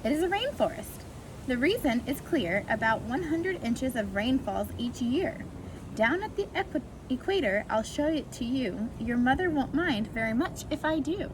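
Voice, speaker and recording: High-pitched woman reading a children's storybook. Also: lively intonation, normal speed. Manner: sing-song rhyming delivery addressed to young children. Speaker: volunteer reading a picture book to preschoolers. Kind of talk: read-aloud children's storytime with brief asides. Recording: clearly noisy amateur recording.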